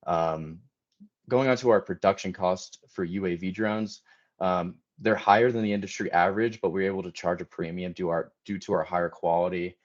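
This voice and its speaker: A man's voice: sits low, fairly even intonation, normal speed. A man giving a prepared business presentation as a presenter, somewhat hesitant with frequent ums. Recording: dull lo-fi audio.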